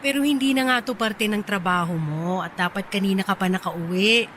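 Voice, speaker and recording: An actress playing a proud, easily irritated but bashful young office boss in a Filipino radio drama, with a Filipino accent. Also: female voice, medium pitch, brisk, fairly bright tone, a little hiss or hum, close to an everyday voice. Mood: scolding, fretful. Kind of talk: radio drama acting in Taglish.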